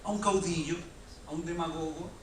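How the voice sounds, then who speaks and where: A man's voice: a little high, fairly even intonation. Man, echoey distant hall. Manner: measured, reflective argument. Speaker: panelist. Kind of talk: panel discussion talk. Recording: echoey hall, distant mic, faint noise.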